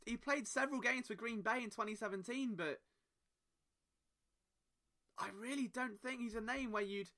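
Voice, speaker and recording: Male podcast host, high and very bright voice, impassioned solo monologue. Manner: impassioned, emphatic rhetorical questions.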